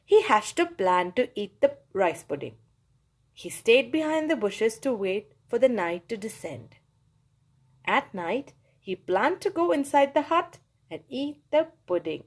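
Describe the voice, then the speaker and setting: A woman reading a children's story aloud for her channel, in a cheerful, expressive way. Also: on the high side, a little slow. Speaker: storybook reader, channel host.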